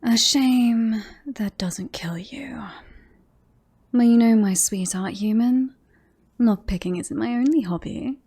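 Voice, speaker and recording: A woman playing a possessive, lovestruck female werewolf in a roleplay audio drama, teasing and boastful, often slipping into breathy whispers. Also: on the high side, a little mellow, full character voice. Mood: smug, teasing. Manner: slow, breathy asides, often dropping into whispers.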